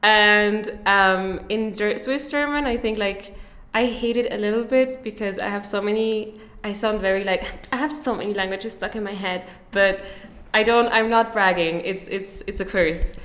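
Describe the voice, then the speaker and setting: A woman's voice: medium pitch, moderate pace, hardly any pauses, fairly bright tone. A woman talking as a guest on a podcast, chatty and full of fillers and laughs. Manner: chatty, many fillers and laughs, puts on voices in quotes. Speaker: podcast guest, German teacher. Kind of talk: casual interview chat. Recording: echoey room.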